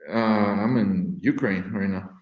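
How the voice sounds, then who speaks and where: A man with a lowish voice hosts a casual livestream call, pitching his lead service in an upbeat, loose salesman way and swearing freely. His voice rings out in an echoey room. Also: a little slow, a little hiss or hum. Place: in Ukraine.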